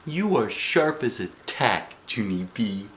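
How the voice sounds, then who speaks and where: A man's voice: lowish voice, very animated, slow, fairly bright tone, slight background noise, exaggerated voice. A man voicing a curious, cheeky stuffed toy elephant from a storybook in a childlike, inquisitive way. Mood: earnest.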